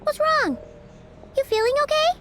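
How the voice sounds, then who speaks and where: A woman voicing a small, childlike fairy companion in a video game, squeaky, excitable and chatty, full of exclamations. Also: moderate pace, over music. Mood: surprised, indignant. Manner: exclamatory, speaks in third person. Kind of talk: animated video game voice acting.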